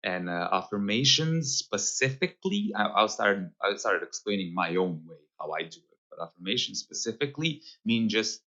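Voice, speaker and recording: A thirty-year-old man from Serbia with a Serbian accent, talking casually and reflectively as an interview guest, pausing as he finds his words. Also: on the low side, big pitch swings, bright, forceful tone, lo-fi sound. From Serbia, Novi Sad. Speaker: podcast guest, holistic guide.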